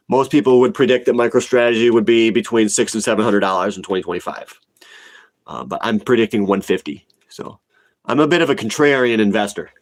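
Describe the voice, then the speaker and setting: Blunt man around forty with a cold. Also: lowish voice. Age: about forty. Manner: blunt, opinionated, repeats himself for emphasis. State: sick with a cold, sniffling. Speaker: livestream host, retail investor. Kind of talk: casual livestream chat, answering viewer comments.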